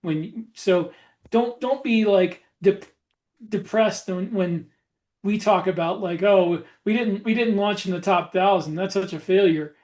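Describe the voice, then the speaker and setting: A man's voice: sits high, unhurried, a little mellow. A man chatting casually as the co-host of a podcast.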